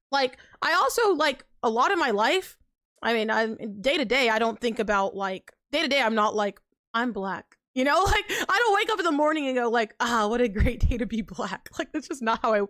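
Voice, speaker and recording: A young woman talks to her live-stream viewers in a high, bright voice, riffing casually and emphatically with plenty of fillers. She is recorded close and dry, with no room sound. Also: dry recording. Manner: animated, filler-heavy, emphatic, frequent laughs and pitch jumps. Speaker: livestreamer.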